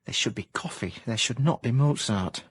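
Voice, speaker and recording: Actor as deadpan South Yorkshire male detective. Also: medium pitch, moderate pace, husky, dry recording, clean studio sound, natural voice. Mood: huffy. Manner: deadpan, pompous hard-boiled narration. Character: self-important, deadpan amateur private detective, ex-miner. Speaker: lead actor and narrator. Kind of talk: comic radio drama acting.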